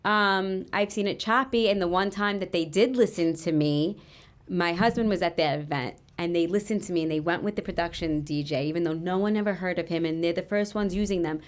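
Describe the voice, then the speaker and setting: Low-voiced woman event planner chatting. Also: fairly quick. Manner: conversational, explaining with many fillers. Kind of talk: casual podcast chat.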